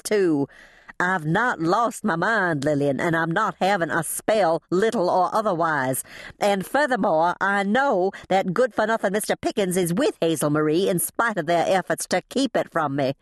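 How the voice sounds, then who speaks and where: A woman's voice: expressive, moderate pace, no echo, clean studio sound, lightly acted. A woman voicing a frantic, proper older lady in an audiobook, breathless, exclaiming and indignant. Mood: indignant, exasperated. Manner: shrill, breathless wailing and exclaiming.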